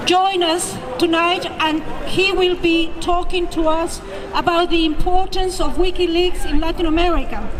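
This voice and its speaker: A woman hosting an outdoor rally, introducing a guest speaker to the gathering. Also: extremely high pitch. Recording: noisy outdoor street with traffic.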